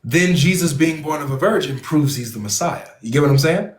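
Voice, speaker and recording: A man's voice: on the high side, a little fast. Probing male debate host, echoey room. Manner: probing, presses a point with repeated questions. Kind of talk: live online debate panel.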